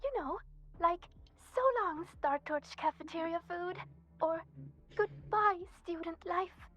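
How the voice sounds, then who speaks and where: A woman's voice: extremely high pitch, slow, fairly bright tone, full character voice. An actress voicing a friendly, eager young female student in a video game, chatty, playful and sincere. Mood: playful, teasing. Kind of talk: scripted video game voice acting. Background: background music.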